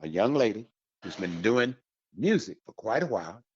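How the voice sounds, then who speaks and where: Male radio host, low and raspy, emphatic promo delivery speaking directly to listeners, muffled recording. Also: sing-song, normal speed. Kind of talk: upbeat radio promo talk. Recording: dull, slightly lo-fi audio.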